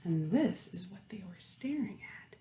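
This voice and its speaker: Animated woman reading a storybook, echoey room. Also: mid-range voice, unhurried. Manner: animated, asks young listeners questions and explains the pictures. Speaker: children's storytime reader. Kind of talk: expressive picture-book read-aloud with chatty asides.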